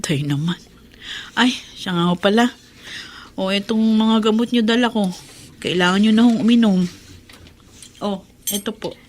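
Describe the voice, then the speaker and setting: An actress playing an overburdened, devoted middle-aged daughter in a Filipino radio drama, pleading and exasperated, full of emotion. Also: female voice, mid-range voice, measured pace, long pauses, a little hiss or hum, close to an everyday voice. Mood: coaxing, exasperated. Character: overburdened, devoted, hurt eldest daughter, a mother.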